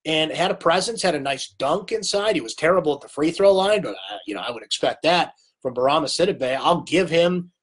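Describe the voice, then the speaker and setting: A man's voice: on the high side, animated, fairly quick, a bit bright, husky. A man hosting a live sports recap stream, casual and opinionated, answering viewer comments while losing his voice to a cold. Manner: chatty, opinionated, reads viewer comments aloud.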